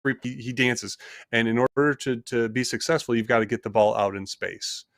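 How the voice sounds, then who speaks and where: A man co-hosting a casual sports discussion, his voice middle-pitched and ordinary. He rambles, restarts and hedges as he thinks aloud. The recording is dry and close. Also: brisk, fairly bright tone, no room sound. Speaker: co-host.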